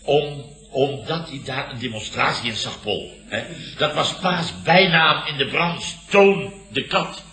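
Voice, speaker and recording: An actor playing a blustering, self-important middle-aged boss who tells indignant tall tales emphatically in a Dutch radio comedy. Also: male voice, a little high, a little slow, sharp tone. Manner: emphatic, ends phrases on questioning tags. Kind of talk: 1960s-70s Dutch radio comedy acting. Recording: roomy old radio comedy recording.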